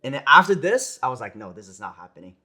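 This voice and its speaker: A young American man, a pro gamer, chatting casually in an interview, relaxed and rambling with lots of "like" and "you know". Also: medium pitch, fast. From United States. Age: young adult. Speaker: esports player guest.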